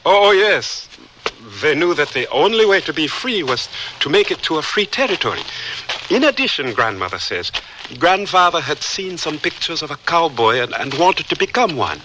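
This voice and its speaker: A man's voice: a little high, sing-song, moderate pace, pushed and bright. A man playing an eager, polite, educated young Liberian newcomer in a radio drama, speaking formally with hesitant stammers. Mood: eager, storytelling. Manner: earnest, formal, courteous. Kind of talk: old-time radio drama acting. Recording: noisy radio drama recording.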